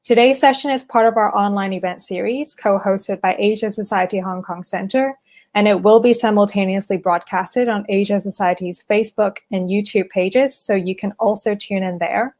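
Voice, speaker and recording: Female event host, medium pitch, reading a prepared welcome and housekeeping notes. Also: steady tone, normal speed, darkish tone. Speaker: festival team member introducing an online event. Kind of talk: scripted event introduction.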